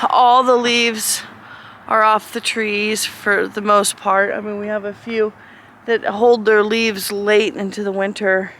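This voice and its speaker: A woman homesteader chatting casually in a vlog outdoors on a cold farm, rambling, her voice a little hoarse. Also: medium pitch, noisy background. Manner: chatty, rambling, talks to animals. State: slightly hoarse.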